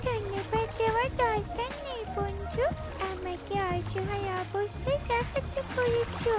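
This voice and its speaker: Extremely high sweet little water fairy, gentle, earnest, children's cartoon, loud music and water. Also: a little slow. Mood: gentle, reassuring. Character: gentle stranded young water fairy mermaid, grateful and generous. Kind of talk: dubbed children's cartoon dialogue. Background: music, splashing water.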